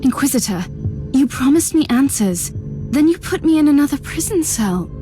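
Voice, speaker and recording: A woman's voice: high voice, very animated, moderate pace, over music. A woman playing a troubled, resentful young psychic captive in a video game, guarded and wounded in manner. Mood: bitter, resentful. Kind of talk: dramatic video game voice acting.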